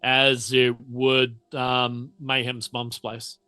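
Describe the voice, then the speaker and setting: Aussie guy running a tabletop rpg, takes his time, bright voice, really dry close sound. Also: medium pitch, completely flat delivery, very slow, clear, resonant tone, no echo. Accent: Australian. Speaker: game master. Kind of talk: casual tabletop game narration.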